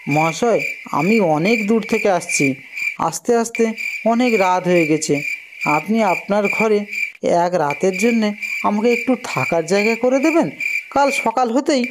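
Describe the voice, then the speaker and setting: A man's voice: high voice, animated, measured pace, heavy background noise. A man playing an earnest, determined young village husband in a cartoon story, with dramatic delivery. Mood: polite.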